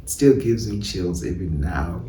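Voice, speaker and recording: A South African man talking reflectively in an interview, hesitant and animated, often repeating himself. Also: low-pitched, unhurried. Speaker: playwright and director being interviewed. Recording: roomy, distant mic with faint noise.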